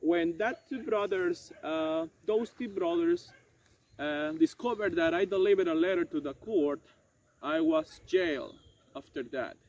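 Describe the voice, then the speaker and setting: A man playing a regretful French doctor and former prisoner in an amateur dramatized monologue, speaking haltingly and correcting himself. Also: high voice, lively intonation, a little mellow. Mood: solemn recounting. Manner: halting, hesitant, self-correcting delivery. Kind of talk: amateur dramatized monologue retelling past events. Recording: dull lo-fi sound, faint noise.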